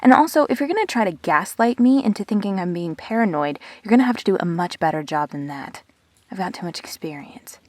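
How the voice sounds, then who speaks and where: A woman playing a perceptive, teasing, supportive friend in an intimate sci-fi audio drama, expressive and blunt. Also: fairly low pitch, a little fast, no room sound. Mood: hurt, indignant.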